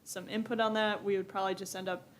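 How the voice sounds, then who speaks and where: Flat-voiced woman explaining procedure. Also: medium pitch, fast talker, slight background noise. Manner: plain, explanatory, agreeable. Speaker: planning staff presenter. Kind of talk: public meeting remarks. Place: public meeting room.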